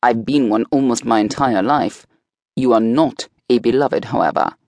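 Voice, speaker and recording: A woman's voice: a bass voice, normal speed, a little mellow, no echo. A woman voicing a stern, dignified man in an audiobook, speaking formally. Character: stern proud Czech man, dark creature. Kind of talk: audiobook dialogue.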